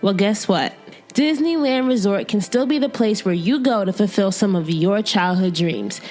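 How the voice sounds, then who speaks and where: A woman's voice: mid-range voice, animated, normal speed, a little mellow, faint music behind. Young woman, podcast host, conversational and encouraging, mid-range voice. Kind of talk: casual interview chat and ad read.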